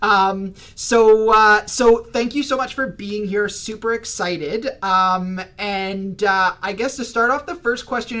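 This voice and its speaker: High-voiced peppy stream host dude, bright tone, super excited. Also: male voice. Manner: energetic, enthusiastic. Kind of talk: livestreamed interview.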